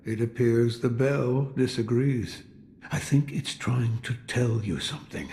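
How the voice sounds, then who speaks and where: An actor playing a weary, brooding old sculptor of Buddhas in a video game, speaking in a deliberate, cryptic way. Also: male voice, medium pitch, a little mellow, lightly acted. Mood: grave, brooding. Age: older. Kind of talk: scripted video game dialogue.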